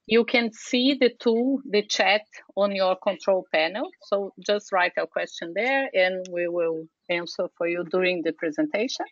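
A woman's voice: medium pitch, measured pace, hardly any pauses, dry recording. A woman moderating a webinar, announcing in a friendly, straightforward way over a remote call microphone. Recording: dull, dry call audio.

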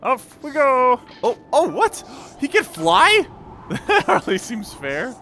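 A man giving excited let's-play commentary in a playful, exclamatory way, breaking into goofy sound effects and sing-song. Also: very high voice, slow. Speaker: gameplay video host. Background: video game music.

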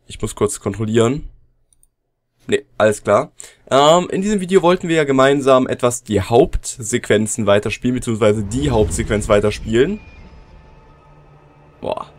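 Man with a cold doing relaxed let's-play commentary at home, mid-range voice, chatty with his viewers. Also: mid-range voice. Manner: chatty, addresses viewers directly. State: has a cold, sore throat. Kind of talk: casual gameplay commentary.

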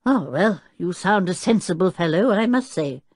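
A woman voicing an absent-minded, irascible middle-aged male professor in a storybook, with a gruff, blustering manner. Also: fairly low pitch, lively intonation, moderate pace, darkish tone, no room sound, clean studio sound. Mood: calmed, peaceable.

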